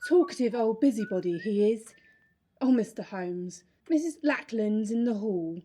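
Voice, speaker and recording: An actress playing a brisk, sour, sharp-tongued village landlady with some sympathy in an old-time radio drama. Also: medium pitch, expressive, lightly acted. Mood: scolding.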